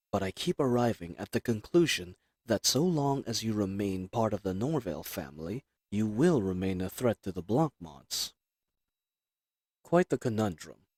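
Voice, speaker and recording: A man playing a cool, confident, flirtatious assassin in a roleplay audio drama, with a smug, teasing, measured delivery. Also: on the low side, darkish tone, no room sound, studio quality.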